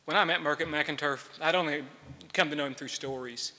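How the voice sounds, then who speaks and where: A man's voice: on the high side, brisk, bright, forceful tone. A man giving a memorial tribute to a gathering. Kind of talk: memorial speech with personal anecdote and reading. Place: large hall, speaking to a gathering. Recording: echoey hall with long reverb.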